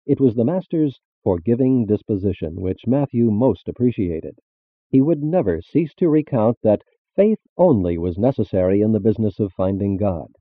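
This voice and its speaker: A man reading a scripted religious narration in a formal, measured manner. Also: lowish voice, animated, dry recording. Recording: muffled phone-like band-limited audio.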